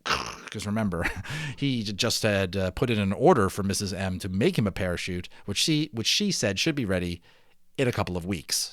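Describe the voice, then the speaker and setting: Animated man reading a storybook aloud. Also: deep voice, no echo, studio quality. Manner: expressive, emphatic, theatrical. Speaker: amateur audiobook reader. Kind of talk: dramatic storybook read-aloud with chatty asides.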